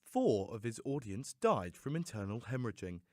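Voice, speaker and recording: A man giving a theatrical, expressive scripted reading of a story as an audiobook narrator. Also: mid-range voice, moderate pace, dry recording, studio quality.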